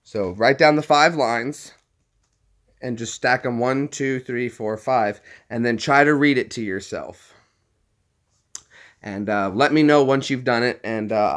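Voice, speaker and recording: A chatty man from Tennessee with a neutral American accent, vlogging casually to his viewers. His pitch sits in the middle range. He jokes around and walks through things step by step. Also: unhurried. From Tennessee, United States. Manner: chatty and jokey, explains step by step. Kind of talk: casual chat to camera.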